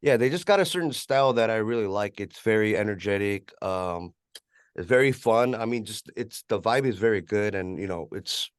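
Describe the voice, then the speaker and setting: A man's voice: lowish voice, animated, normal speed, smooth, no echo, clean studio sound. A man with a West Coast American accent chatting casually as an interview guest, rambling in a laid-back way with plenty of "you know". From California, USA. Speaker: interview guest, rapper and music producer.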